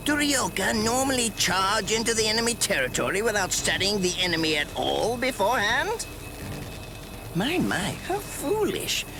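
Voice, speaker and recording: Wildly swooping, sadistic mad scientist. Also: male voice, sits high, noisy background, exaggerated voice. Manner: theatrical, mock-polite, condescending. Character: gleefully sadistic mad-scientist male captain, polite and condescending. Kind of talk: anime video game voice acting. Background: music.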